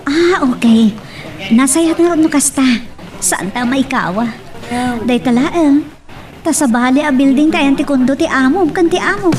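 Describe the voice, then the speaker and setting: A woman with an Ilocano accent playing an eager, expressive mother working abroad as a maid in an amateur audio drama. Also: high voice, normal speed, pauses often, crowd noise. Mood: excited, curious. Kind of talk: amateur Ilocano radio-style drama acting. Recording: distant, off-mic home recording.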